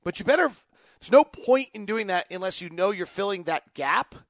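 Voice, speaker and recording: A man's voice: high-pitched, expressive, normal speed, a bit bright, dry recording, clean studio sound. A man hosting an unscripted sports talk show, thinking aloud in choppy, emphatic phrases. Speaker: sports podcast host. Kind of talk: unscripted basketball talk, answering fan questions.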